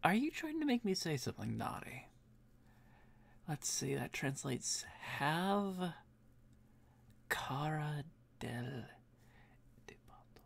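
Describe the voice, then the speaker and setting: A man streaming as he edits a comic, muttering quietly to himself and answering chat in a casual way. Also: a little high, big pitch swings, takes it slowly, vocal fry, pauses often.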